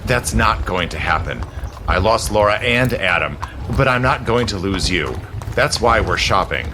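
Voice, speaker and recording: An actor playing a suave, zealous immortal gentleman in a period audio drama, with a smooth, persuasive, formal manner. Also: fairly low pitch, lively intonation, normal speed, background noise, lightly acted. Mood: gentle, reassuring. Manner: smooth, persuasive, theatrical, genteel formal phrasing.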